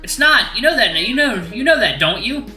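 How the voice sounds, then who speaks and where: A performer voicing a panicked, indignant young human who sputters in shrill exasperation. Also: very animated, moderate pace, sharp tone, roomy sound, faint music behind.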